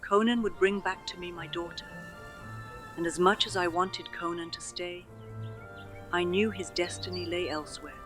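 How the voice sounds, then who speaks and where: A voice actress narrating as a lone mother telling her tale in slow, measured storybook phrasing, with a music score behind her. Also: fairly low pitch, fairly even intonation, close to an everyday voice. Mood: wistful.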